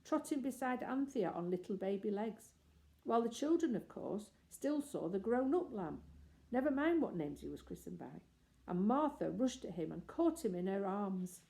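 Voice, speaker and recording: A woman's voice: medium pitch, very animated, normal speed, vocal fry, mellow. A woman narrating a children's story expressively, as an audiobook reader.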